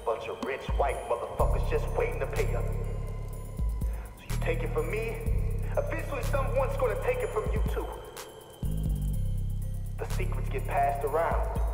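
An actor playing a menacing, mocking gang boss and ex-soldier in a video game, swaggering and profane. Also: male voice, on the high side, wildly swooping pitch, normal speed, long pauses. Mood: taunting, defiant. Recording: echoey hall, loud music over voice.

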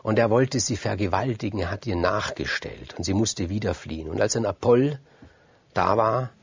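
A man's voice: on the low side, lively intonation, normal speed, breathy edge, no room sound, lo-fi sound. A man telling myths freely, in a conversational way, repeating words for emphasis and speaking straight to his listeners. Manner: conversational, emphatic repetition, addresses listeners directly. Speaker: storyteller. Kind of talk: free oral retelling of Greek myths.

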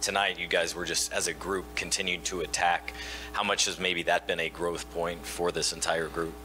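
Deep-voiced male reporter asking questions. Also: level tone, moderate pace, bright, forceful tone, faint music behind. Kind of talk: press conference question.

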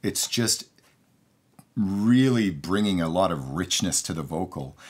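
Husky, low-voiced man teaching casually. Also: lively intonation, a little slow, darkish tone. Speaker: music mixing engineer giving a tutorial. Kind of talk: casual instructional talk to camera. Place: home recording studio, indoors.

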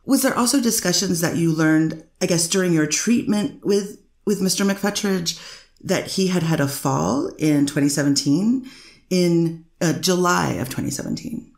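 A woman's voice: fairly low pitch, moderate pace, creaky, a little mellow, reverberant. A woman reading the part of a defense attorney cross-examining a witness, probing with frequent fillers. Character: persistent female defense lawyer. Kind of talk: amateur readers theater reading of a court transcript.

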